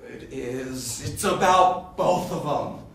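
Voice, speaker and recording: A man's voice: medium pitch, takes it slowly, gravelly, a bit bright. A man playing a defensive, lovelorn ghost who died young, in a theatrical drama, speaking casually and with sarcasm. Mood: defensive, pleading. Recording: distant, very echoey room, faint noise.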